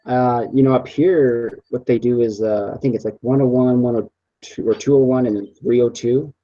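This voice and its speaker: A young man in his twenties, a student chatting easily with classmates on a video call, speaking haltingly with many uhs. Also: medium pitch, steady tone, warm tone, dull audio. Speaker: student in a group project. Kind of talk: casual chat on a video call.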